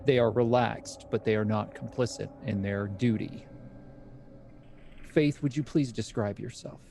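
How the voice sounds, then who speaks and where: Guy game master narrating a horror rpg, mellow low-key tone, measured. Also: steady tone, creaky, slight background noise. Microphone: streaming mic.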